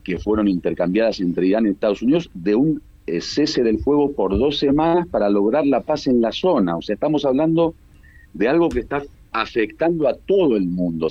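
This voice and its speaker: A man's voice: fairly low pitch, lo-fi sound. A man from Argentina with an Argentine Spanish accent, giving emphatic, repetitive political commentary as an analyst guest over a remote radio link. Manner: emphatic, builds points by repetition.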